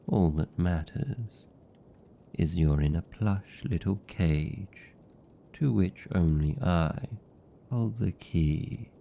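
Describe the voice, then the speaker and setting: Male actor as a British vampire, very low, gravelly, seductive, possessive, teasing, intimate ASMR roleplay. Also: dull audio, full character voice. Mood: smug, possessive. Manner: slow, teasing, condescending, velvety menace.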